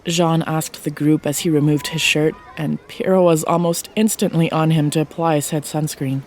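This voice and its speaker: A woman's voice: deep voice, flat delivery, moderate pace, darkish tone, a little hiss or hum. A woman giving a scripted narration of a fiction story as its narrator, close to the microphone.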